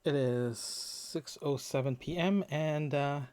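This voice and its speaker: A man recording a casual, unscripted audio diary as a podcaster, talking in a rambling, halting way with trailing asides. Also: a little high, a little mellow, clean tone, no echo.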